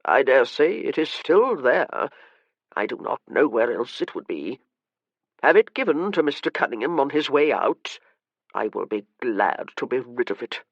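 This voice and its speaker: A man playing a rasping, haughty old gentleman. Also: mid-range voice, sing-song, dry recording, dull audio, voice pushed down. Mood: weary. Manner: haughty, disdainful, slow and weary. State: short of breath, rasping. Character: frail irritable wealthy old gentleman, gravely ill.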